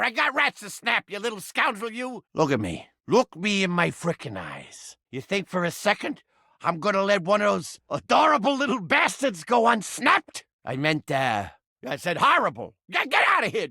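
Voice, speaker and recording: A man's voice: high-pitched, vocal fry, pushed and bright, husky, no echo, clean studio sound, full character voice. An actor playing a cranky, manic living mousetrap in a video game, with blustering, streetwise wise-guy talk. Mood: cranky, evasive, then gruff, irritated. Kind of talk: animated video game character acting.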